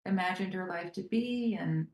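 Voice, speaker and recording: A woman's voice: lowish voice, moderate pace, a little mellow, roomy sound. An actress whose first language is German, speaking English with a German accent and talking earnestly about her role in an interview. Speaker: actor. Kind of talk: press junket interview.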